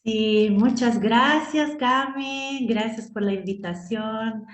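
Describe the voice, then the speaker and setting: A woman's voice: on the high side, slow pace, echoey room. A woman from Italy, a nonviolent communication trainer, answering interview questions thoughtfully, with frequent fillers. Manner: thoughtful, many "pues" and "¿no?" fillers.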